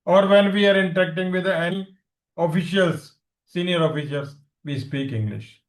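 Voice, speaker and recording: A man's voice: high voice, moderate pace. Indian man teaching, echoey room. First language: Punjabi. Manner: explanatory, asks students questions, mixes Hindi and English. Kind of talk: online class lecture.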